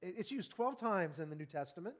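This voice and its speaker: A man in his fifties preaching an evening sermon, earnest and conversational, often asking the congregation questions and slowing down on key words. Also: high-pitched, expressive. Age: 50s. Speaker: pastor.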